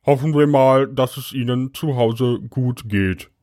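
Man acting as alien field agent, scripted logbook entry, unhurried, dry close sound, matter-of-fact. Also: medium pitch, darkish tone, no room sound, natural voice. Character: deadpan alien agent filing a logbook report. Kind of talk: scripted narration, audio logbook.

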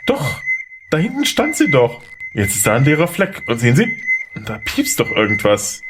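Lawyer, male actor, audio drama. Medium pitch, lively swings, anxious, questioning, unsettled, music bed. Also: moderate pace. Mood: startled. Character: bewildered male lawyer, anxious, doubting his own mind. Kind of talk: German audio drama acting.

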